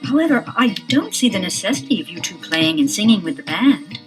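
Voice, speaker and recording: A woman's voice: mid-range voice, very animated, fairly quick, over music, lightly acted, rough recording. An actress playing a scheming female road manager, cool and formal in her speech. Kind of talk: 1970s children's audio drama.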